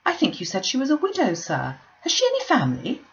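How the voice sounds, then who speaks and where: A woman's voice: a little high, wildly swooping pitch, fast talker, voice pushed up. A nosy, gushing gentry mother, put on by a woman reading aloud, fires eager questions in a raised, lilting voice. The sound is dull and lo-fi, like a simple home recording.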